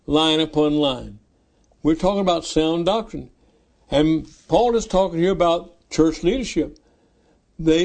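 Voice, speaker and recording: A man in his nineties preaching a sermon as pastor, repeating key phrases slowly and with emphasis. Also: high voice, darkish tone. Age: 90s.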